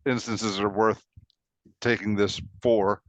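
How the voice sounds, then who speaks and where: A man's voice: on the low side, flat delivery, slow pace. A man co-hosting a casual two-person discussion, recorded with a dull, dry sound. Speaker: co-host.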